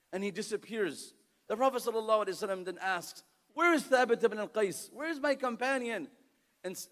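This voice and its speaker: An impassioned male preacher with a high voice. His sermon rings with the long reverb of a large hall, and his Arabic recitation comes fast and fluent. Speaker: Islamic preacher. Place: lecture hall.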